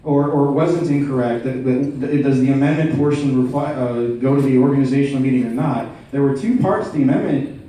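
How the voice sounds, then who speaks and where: A man's voice: mid-range voice, brisk, warm tone. A man speaking as a delegate in a formal procedural debate, putting precise, methodical and insistent questions to the chair. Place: large indoor meeting room. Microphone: floor microphone. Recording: roomy echoing hall, distant mic.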